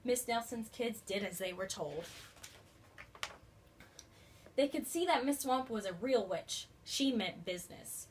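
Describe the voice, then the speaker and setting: High-voiced woman reading to children. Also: normal speed. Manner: expressive, addressing young children. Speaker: teacher reading aloud to children. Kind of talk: storybook read-aloud with questions. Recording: faint background noise.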